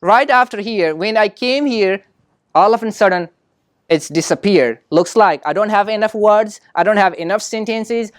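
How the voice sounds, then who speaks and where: A man with a non-native English accent giving an informal lecture as an academic speaker, in halting, repetitive phrases dotted with rhetorical questions. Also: sits high, dry recording, studio quality. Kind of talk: informal lecture drawing on own experience.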